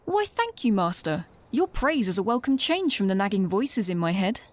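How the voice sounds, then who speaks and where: A woman's voice: medium pitch, very animated, moderate pace, close to an everyday voice. A woman voicing a formal, obedient female robot companion who calls the others Master. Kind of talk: fan audio drama acting.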